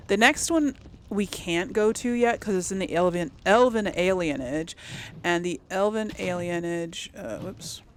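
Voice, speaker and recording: A woman with a slightly low voice hosts a casual let's play. She talks to her audience in a chatty, informal way and thinks aloud as she plays. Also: slight background noise. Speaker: gaming video host.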